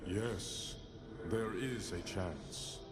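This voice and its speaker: A man's voice: low voice, drawn-out words. A man playing a solemn, ancient, all-seeing cosmic being in a video game, speaking with stately, portentous gravity. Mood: grave solemnity. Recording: echoing voice under loud music.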